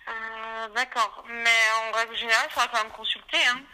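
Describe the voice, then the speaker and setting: A woman's voice: fairly high pitch, level tone, fairly quick, sharp tone. A woman working as a vet clinic receptionist, answering a phone call in a matter-of-fact, procedural way. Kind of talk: customer service phone call. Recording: noisy phone call with clicks.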